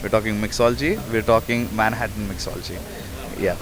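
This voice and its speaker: A man's voice: on the low side, fairly even intonation, a little fast, a bit bright. A man who owns a bar, talking emphatically and boastfully in an interview. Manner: brash, emphatic promoter. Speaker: bar owner. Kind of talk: casual interview.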